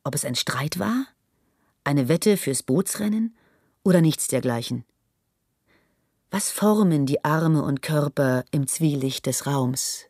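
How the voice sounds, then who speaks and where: A woman's voice: sits low, lively intonation, takes it slowly, long pauses, darkish tone, no room sound, clean studio sound. A woman narrating a literary radio drama in a musing, questioning manner.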